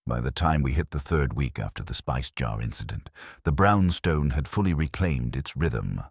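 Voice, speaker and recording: Very deep-voiced man narrating detective fiction. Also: expressive, moderate pace, husky, dry recording, studio quality. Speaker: audiobook narrator. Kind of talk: scripted first-person detective story narration.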